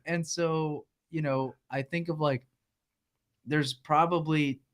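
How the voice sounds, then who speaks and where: A man hosting a casual podcast, relaxed and chatty, dropping "like" and "right?" often. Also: fairly high pitch, slow. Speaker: podcast host.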